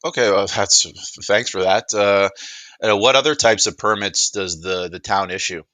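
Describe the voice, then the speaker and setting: Bright-voiced man presenting, fairly low pitch. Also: fairly even intonation, normal speed, dry recording. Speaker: presenter demonstrating a voice assistant. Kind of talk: casual spoken product demo.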